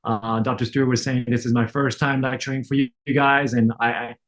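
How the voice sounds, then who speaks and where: A man's voice: medium pitch, flat delivery, a little fast, fairly bright tone. Man, middle-aged, from France, casual webinar lecturer, chatty, lots of uh and you know. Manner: frequent fillers and self-restarts, conversational. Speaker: optometrist lecturer. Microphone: online webinar.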